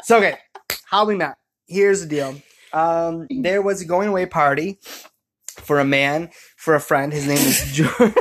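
A man's voice: a little high, a little slow. Jokey, rambling young man telling stories. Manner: jokey, rambling, animated retelling with quoted asides. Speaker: co-host telling the couple's story. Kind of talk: casual chatty storytelling.

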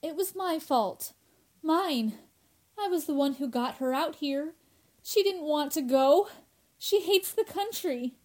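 A woman's voice: sits high, lively intonation, takes it slowly, a little mellow. A woman playing a grief-stricken, fretful young roommate in a horror radio drama, pleading and plaintive. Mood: tearful grief.

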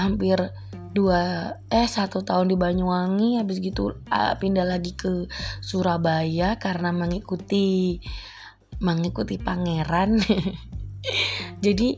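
A woman's voice: mid-range voice, a little mellow. A woman from Java, a housewife hosting her own casual podcast, rambling and giggling at herself. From East Java, Indonesia. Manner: giggly, rambling, self-deprecating. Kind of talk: casual solo podcast monologue.

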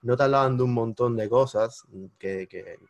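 A man's voice: normal speed, no room sound. Cuban-accented man telling a story. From Cuba. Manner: animated, jumps into high-pitched exclamations when retelling moments. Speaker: podcast guest. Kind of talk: storytelling in casual conversation.

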